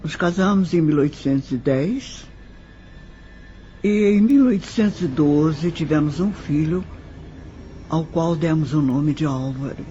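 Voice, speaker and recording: A man's voice: on the high side, mellow, a little hiss or hum, lightly acted. A man with a Brazilian accent playing a sorrowful nineteenth-century widow who recounts her life formally in an audio drama. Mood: reminiscing. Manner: slow, measured, formal recollection. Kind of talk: scripted audio drama monologue. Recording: slightly muffled, music underneath. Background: background music.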